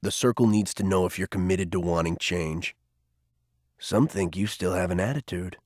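A gruff older mentor in an audiobook, low and hushed, stern with a dry teasing edge, as a man reads him. His voice sits deep and soft, recorded close and dry. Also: normal speed, no room sound. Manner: low, quiet and firm.

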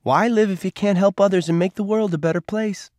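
A man voicing a caring woman nurse, speaking gently in a raised, lighter voice. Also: high voice, steady tone, brisk, no room sound, studio quality, voice pushed up, lightly acted. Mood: gentle.

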